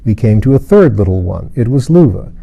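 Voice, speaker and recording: A man's voice: on the low side, very animated, fairly quick, vocal fry, mellow. A man reading literary fiction aloud as an audiobook narrator. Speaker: audiobook reader.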